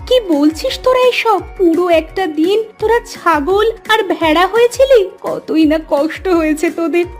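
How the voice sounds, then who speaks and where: A woman's voice: very high-pitched, expressive, measured pace, faint music behind. A woman voicing an anxious, loving village mother in a cartoon, plaintive and worried. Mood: shocked, chiding.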